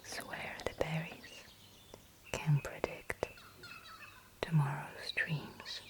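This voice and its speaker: A woman playing a gentle, courteous inn hostess in a fantasy ASMR roleplay, speaking in a soft, breathy whisper with formal, measured phrasing. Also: sits low, little pitch movement. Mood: softly storytelling. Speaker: innkeeper character in roleplay.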